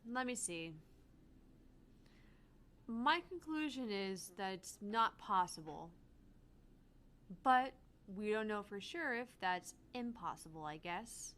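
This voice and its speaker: A woman voicing a prim, prickly young female artificial intelligence in a visual novel, speaking in a measured, deliberate way. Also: medium pitch, animated, a bit bright. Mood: cool, analytical. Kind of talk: visual novel character voice reading.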